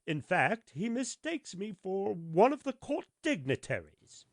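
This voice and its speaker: A man's voice: sits high, very animated, measured pace, no room sound, clean studio sound, pitched above the performer's own voice, heavily acted. A man voicing a conceited, self-important male firework rocket in a storybook reading. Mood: boastful.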